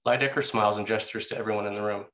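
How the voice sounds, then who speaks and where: Casting: male narrator for scripted scene descriptions at a table read, a little below average pitch. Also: fairly even intonation, very fast, a bit bright. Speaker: narrator reading stage directions.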